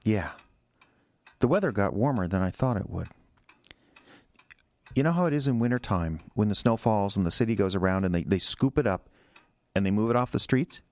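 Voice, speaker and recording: An American man acting in a radio drama as an anxious, defensive husband who speaks haltingly and repeats his words. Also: lowish voice, animated, a little mellow, dry recording. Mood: anxious, rambling.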